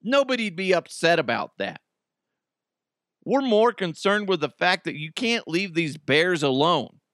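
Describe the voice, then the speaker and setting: A man hosting a comedy podcast, his voice raspy and hoarse. He rambles through the news, laughing at his own jokes. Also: sits high, sing-song, unhurried, fairly bright tone, no room sound, studio quality. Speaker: podcast host. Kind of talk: casual comedic commentary.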